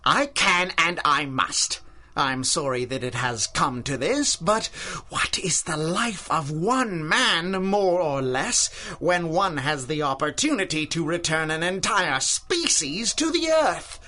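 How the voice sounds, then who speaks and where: A man's voice: fairly high pitch, lively intonation, slow, sharp tone, exaggerated voice. A man playing an obsessed older scientist in a radio drama, formal and patronizing in manner, courteous yet ruthless. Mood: coldly fanatical. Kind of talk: 1930s-style radio drama acting.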